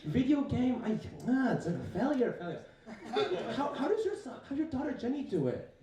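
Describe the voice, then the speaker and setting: A man's voice: very high-pitched, big pitch swings, moderate pace, heavy reverb, distant mic, a crowd in the background, voice pushed up. A young man voicing his nagging, bragging Chinese immigrant mother with a Chinese accent and broken, sing-song English. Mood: scolding.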